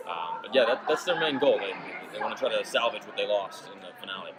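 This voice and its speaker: A man's voice: fairly low pitch, lively intonation, fast talker. A man, an actor chatting at a press junket in an easygoing, joking way. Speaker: actor interviewed about his series. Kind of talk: casual press-junket chat. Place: busy convention floor. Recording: noisy convention crowd behind.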